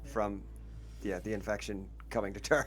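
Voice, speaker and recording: A man's voice: lowish voice, moderate pace. A man taking part in a tabletop game as a player, talking casually and a bit tentatively over background music. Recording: music playing behind the voice.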